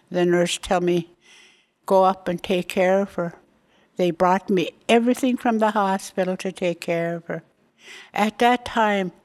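Woman in her 80s from Alaska, unhurried pause-filled storyteller, ordinary mid-range voice. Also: medium pitch, a little mellow. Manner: slow, halting storytelling with pauses. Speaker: elder interviewee. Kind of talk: personal life story.

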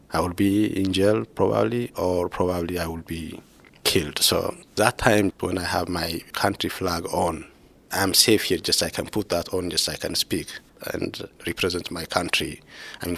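A man in his thirties from Ethiopia, soft-spoken and laughing often as he talks with his former co-advisor. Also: deep voice, creaky, slightly rough. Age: 30s. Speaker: former PhD student, interviewee. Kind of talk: casual conversation.

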